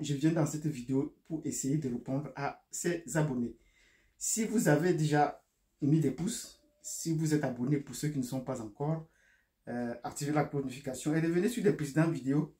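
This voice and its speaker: A man's voice: a little high, normal speed, dark tone. Cameroonian-accented man in an echoey room. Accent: Cameroonian French. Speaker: video host and geopolitical commentator. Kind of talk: explanatory monologue to subscribers. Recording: echoey, roomy sound.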